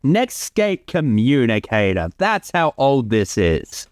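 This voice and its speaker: A man's voice: mid-range voice, big pitch swings, slow, no echo. A man giving casual creator commentary, chatty and explanatory, close on the mic. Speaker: commentary host, series creator.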